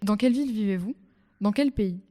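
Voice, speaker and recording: A woman hosting a student podcast, reading her questions clearly from a script. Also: medium pitch, a little fast, dark tone. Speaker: podcast host.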